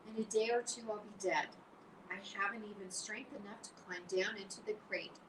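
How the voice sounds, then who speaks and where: Woman reading a calm, soft-spoken spider; audiobook, roomy and distant. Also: moderate pace, natural voice. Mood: gentle. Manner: low, soothing, firm. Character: calm, wise, dying female spider. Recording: roomy, distant mic, faint hiss.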